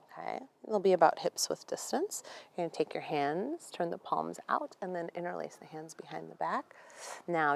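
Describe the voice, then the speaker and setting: A young woman guiding a yoga class in a gentle, unhurried, conversational way. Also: low voice, gravelly. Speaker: yoga instructor. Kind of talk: guided yoga class instruction.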